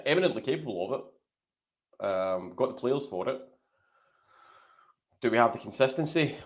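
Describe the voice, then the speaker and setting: A man with a Scottish accent talking through his opinions as a guest on a casual football podcast, measured and teasing. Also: mid-range voice, lively intonation. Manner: measured, thinks aloud, teasing. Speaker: podcast panelist.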